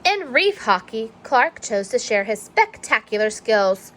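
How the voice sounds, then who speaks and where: A woman reading a children's storybook aloud in an expressive narrating style. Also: a little high, unhurried, slight background noise. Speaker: storybook reader.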